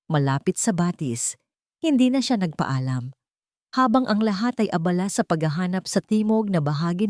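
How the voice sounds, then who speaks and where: A woman's voice: on the low side, darkish tone, no room sound, clean studio sound. A woman reading a scripted dramatic story as its storyteller.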